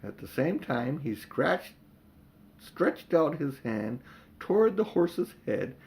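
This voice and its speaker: A man's voice: fairly high pitch, big pitch swings, slow, darkish tone. A man reading an audiobook, narrating a storybook and adding informal asides to the listener. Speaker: audiobook reader.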